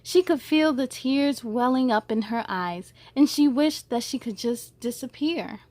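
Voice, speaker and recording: A high-pitched woman reads a children's storybook aloud in a dry, close sound. She is expressive and drops in short asides as she goes. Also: measured pace, no room sound.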